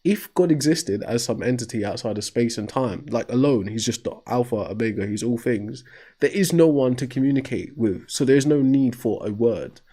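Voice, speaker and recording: A man's voice: mid-range voice, animated, normal speed, mellow. A man hosting a call-in talk show, debating a caller and cutting in with rhetorical questions. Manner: argumentative, cuts in with rhetorical "right?".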